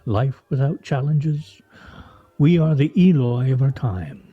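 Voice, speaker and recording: A man's voice: medium pitch, expressive, takes it slowly, breathy edge, lo-fi sound. A man acting in a radio play as a pompous, needling husband with a florid, mock-formal, teasing way of talking. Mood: goading. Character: pompous, needling, theatrical husband and scrabble player.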